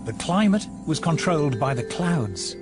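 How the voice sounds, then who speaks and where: A man narrating a documentary in a measured, deliberate scripted delivery. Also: on the high side, sing-song. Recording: narration over music from a film.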